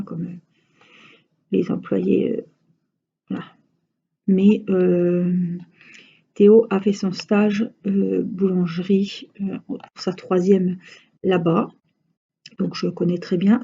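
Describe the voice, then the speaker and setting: A chatty woman with a Franche-Comté accent talks casually to her viewers, drawing out her letters and filling pauses with hesitations. Her voice is dark, husky and gravelly. The recording sounds dull and lo-fi. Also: lowish voice. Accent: Franche-Comté French. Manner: chatty, rambling, frequent hesitations. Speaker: crafting vlogger. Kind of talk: casual chat while crafting.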